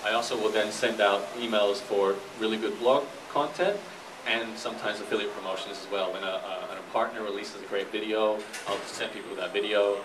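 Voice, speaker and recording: A man's voice: fairly low pitch, fairly quick, recorded from a distance. A man giving an informal, explanatory talk and answering questions as a guest speaker in a noisy live room. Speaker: guest speaker, internet entrepreneur and blogger.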